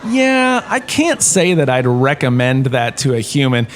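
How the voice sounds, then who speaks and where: A man's voice: medium pitch, big pitch swings, a little slow, slight background noise. An actor playing a chatty, self-important male professor in a comic audio drama lecture, with a theatrical, conversational manner. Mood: chatty, pleased. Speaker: lecturer, host of the show.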